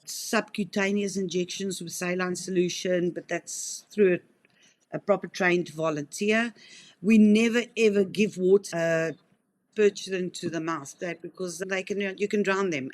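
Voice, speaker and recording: A South African woman in her fifties who works in wildlife rehabilitation answers interview questions in an earnest, talkative way, often restarting her sentences. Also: lowish voice. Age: 50s.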